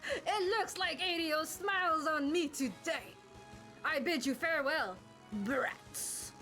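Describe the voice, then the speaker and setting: A woman's voice: sits high, slow, bright, forceful tone, faint music behind, full character voice. A woman voicing a pompous, scheming, gloating male mayor, the villain in amateur fantasy game dubbing.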